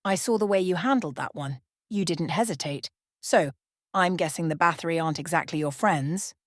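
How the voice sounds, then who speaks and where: A woman's voice: fairly low pitch, moderate pace, dry recording, studio quality, close to an everyday voice. Woman voicing a cocky, taunting young male vampire. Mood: cocky, mocking. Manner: smirking, taunting.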